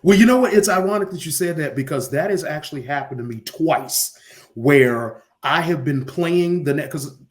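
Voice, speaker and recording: A man's voice: on the high side, normal speed. A man, an arena announcer, telling casual stories as an interview guest and acting out bits of dialogue. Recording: roomy echo on the voice.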